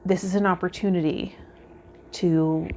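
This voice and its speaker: A woman with a somewhat low voice speaks straight to her viewers as a tarot reader. Her manner is gentle and reflective, like spiritual guidance in a vlog. Some faint noise sits behind her voice. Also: fairly even intonation, measured pace, creaky. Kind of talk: casual spiritual guidance talk to viewers, partly read out.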